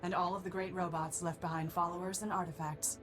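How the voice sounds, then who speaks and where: A woman voicing a stern, vengeful female robot of law in a video game, speaking in a solemn, formal, deliberate way. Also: fairly low pitch, level tone, lightly acted, rough recording. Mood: solemn, instructive. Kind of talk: dramatic video game voice acting. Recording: loud music under the voice.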